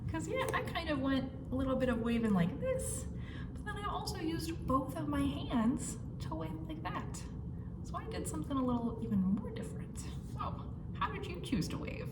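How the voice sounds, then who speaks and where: A woman's voice: high voice, sing-song, moderate pace. A woman leading a children's storytime, talking to young children in an encouraging, conversational way. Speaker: library storytime leader. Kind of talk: children's storytime with action songs. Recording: roomy echo, distant mic, clear noise.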